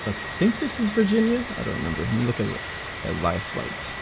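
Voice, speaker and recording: A woman's voice: very deep voice, wildly swooping pitch, fairly quick, creaky, heavy background noise. A woman from Houston chatting casually to viewers during a live flight-sim stream, rambling with frequent stumbles and restarts. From Houston, Texas. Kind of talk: casual live-stream chat while flying.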